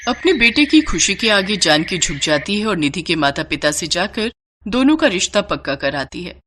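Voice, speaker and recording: A woman narrating a scripted moral story in an expressive storyteller's style. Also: lowish voice, normal speed, background noise.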